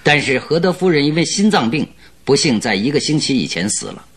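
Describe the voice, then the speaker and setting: Animated, masterful detective in radio drama. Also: male voice, medium pitch, a little fast, noisy background. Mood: confident, expository. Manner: masterful, courteous, expository. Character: confident male detective expounding his deductions. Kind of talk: Chinese radio drama acting. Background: background music.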